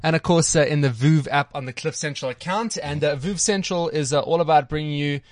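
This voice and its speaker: A man's voice: a little high, fairly quick, dry recording, clean studio sound. A man in his twenties from South Africa, hosting a live online talk show with a playful, joking manner. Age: 20s. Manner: playful, jokey, fond of repeating himself. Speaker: host.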